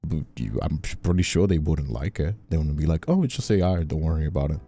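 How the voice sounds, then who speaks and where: Man, very dark mellow voice, raspy edge, rambling streamer, exasperated, repetitive. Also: extremely low pitch, lively intonation, fast, soft background music. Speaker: streamer and host. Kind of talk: casual stream chat.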